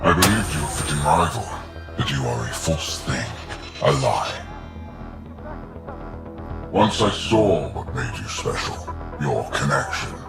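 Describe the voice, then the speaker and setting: An extremely low-voiced alien overlord from a video game, grandiose and contemptuous. His gravelly menace swings up and down in an echoing space, with loud music behind. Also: sing-song, full character voice. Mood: gloating contempt. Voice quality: deep, gravelly. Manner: slow, contemptuous, theatrical. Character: menacing, grandiose male alien warlord villain. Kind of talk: dramatic game villain acting.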